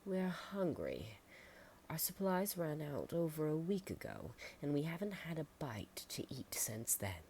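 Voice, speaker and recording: A woman voicing a weak, hungry rich male traveler in a hushed whisper for a storybook reading. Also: deep voice, very animated, unhurried, slight background noise, voice pushed up, exaggerated voice. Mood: weary.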